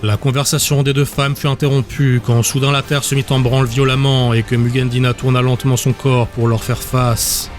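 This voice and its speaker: A man's voice: mid-range voice, flat delivery, moderate pace, few pauses, music in the background. A man narrating a dramatic fantasy audiobook from a script.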